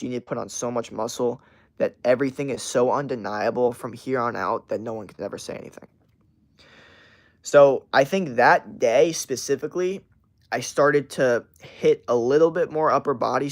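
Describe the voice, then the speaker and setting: Profane young man telling a story. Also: mid-range voice. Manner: profane, emphatic, rambling. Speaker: livestream host. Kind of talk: casual story time on a livestream.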